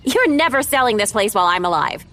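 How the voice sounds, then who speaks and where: An actress playing a tense, stubborn grown sister in an audio drama, guarded and defiant in manner. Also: female voice, on the high side, sing-song, quick pace, sharp tone, exaggerated voice. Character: tense, stubborn adult sister, grieving young mother.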